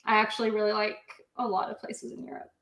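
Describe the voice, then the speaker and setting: A woman's voice: mid-range voice, monotone, fast talker, fairly bright tone, echoey room, slight background noise. A woman from the US Midwest hosting a live stream, chatting casually and laughing often as she talks. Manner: giggly, talks through laughs. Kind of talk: casual chat.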